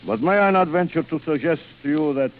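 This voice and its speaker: An actor playing a suave, cruel aristocratic older general who hunts men, in urbane, theatrical 1940s radio thriller style with savored pauses. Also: male voice, a little high, lively intonation, close to an everyday voice. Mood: silky threat. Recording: muffled, noisy vintage radio recording.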